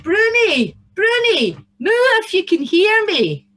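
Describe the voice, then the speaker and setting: A woman with a Scottish accent voicing a stubborn, devoted young farm boy in a children's story, earnest and loud. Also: very high-pitched, huge pitch swings, takes it slowly, pitched above the performer's own voice, full character voice. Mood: urgent calling. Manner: earnest, calling out loudly.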